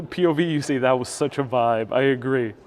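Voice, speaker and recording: A man hosts a live stream outdoors, talking at an ordinary middle pitch into a small clip-on mic. He is chatty and upbeat, and he thanks his viewers by name as he reads out their comments. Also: moderate pace, a little mellow. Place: outdoors in a city at sunset. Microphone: wireless clip-on mic.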